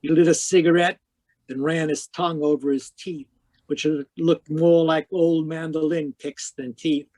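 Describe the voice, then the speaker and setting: A man playing a wisecracking hardboiled private eye, higher-voiced. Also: level tone, a little slow, darkish tone. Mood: wry, world-weary. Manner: wry, terse, slangy delivery. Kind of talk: hardboiled 1940s radio detective narration.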